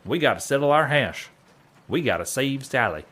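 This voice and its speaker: An actor playing an earnest, folksy cowboy drifter with a husky American Old West drawl in a western comedy audio drama. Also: male voice, medium pitch, animated, normal speed, fairly bright tone. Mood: resolute. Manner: folksy, rustic grammar, colorful exclamations. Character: earnest cowboy drifter Lionel, excitable, resolved to do right.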